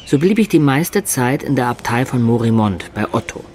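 A male actor in a radio drama playing an earnest, lovestruck adventurer of peasant birth, telling of his youth in measured, lyrical words. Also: mid-range voice, lively intonation, a little mellow, a little hiss or hum, lightly acted. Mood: wistful, reminiscing. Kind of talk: dramatic first-person narration in radio play.

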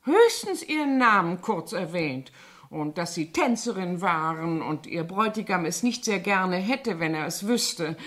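A woman's voice: lowish voice, lively intonation, unhurried, a bit bright. An actress playing a chatty French cleaning woman who sounds naive and guileless while telling gossip dramatically, in a 1970s German radio play. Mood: innocent, chatty.

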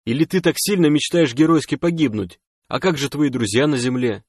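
A man's voice: on the high side, a little fast, a little mellow, husky, no echo, studio quality, pitched above the performer's own voice. A man voicing a proud, sensible winged alien male who is stern and easily offended, in an audiobook story. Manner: stern, reproachful.

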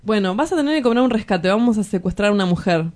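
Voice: mid-range voice, lively intonation, fast talker, a little mellow, natural voice. An actor with an Argentine accent playing a cocky, romantic kidnapper in an audio drama, teasing and breezy. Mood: coaxing. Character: cocky young male kidnapper, sly romantic schemer. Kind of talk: dramatic dialogue acting.

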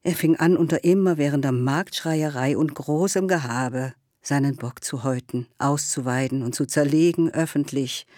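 A man's voice: a little high, moderate pace, hardly any pauses, a little mellow, dry recording, studio quality, natural voice. A man delivering scripted literary narration as a narrator in a radio drama.

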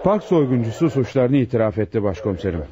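An actor playing a dutiful, commanding police inspector in a radio drama, speaking deliberately. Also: male voice, mid-range voice, very animated, close to an everyday voice. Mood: reporting, frustrated. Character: dutiful male police inspector leading a murder case. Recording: dull, noisy old radio broadcast.

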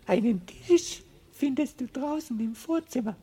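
A woman's voice: sits high, animated, a little slow, mellow, breathy edge, full character voice. A woman playing a smug, businesslike male mouse in a radio play, condescending and commanding.

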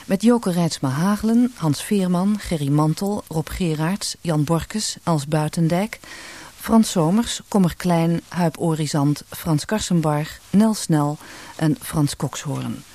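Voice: mid-range voice, slow pace, mellow, no room sound. An announcer reading scripted closing credits for a radio drama in a formal list.

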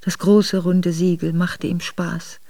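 An actress playing a grieving Russian princess who narrates her memoirs in a radio drama, measured and solemn. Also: on the low side, flat delivery, warm tone, no room sound. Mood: sorrowful, restrained.

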